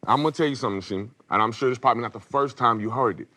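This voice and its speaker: A man on a reality show reunion, lecturing in a firm, measured way. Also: mid-range voice, bright, forceful tone. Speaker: reality show cast member. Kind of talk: arguing at a televised reunion.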